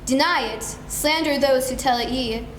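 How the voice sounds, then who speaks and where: A woman's voice: high-pitched, normal speed, lightly acted. A young woman playing a solemn, stern ghostly spirit, grave and declamatory, in a dramatic stage reading. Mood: grave warning. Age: young adult. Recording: echoey room, faint noise.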